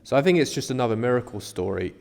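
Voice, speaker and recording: A man's voice: medium pitch, animated, quick pace, creaky, a little mellow. A man co-hosting a podcast, talking through ideas in a reflective, explanatory, conversational way. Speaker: podcast co-host. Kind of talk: conversational discussion. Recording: reverberant room with long echo.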